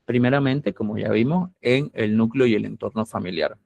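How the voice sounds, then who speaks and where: A man's voice: fairly low pitch, normal speed, gravelly, darkish tone, muffled recording. Bolivian camba-accented male lecturer. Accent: Bolivian camba (Santa Cruz). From Santa Cruz, Bolivia. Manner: patient, explanatory, often checks in with students. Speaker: university lecturer. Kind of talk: classroom lecture.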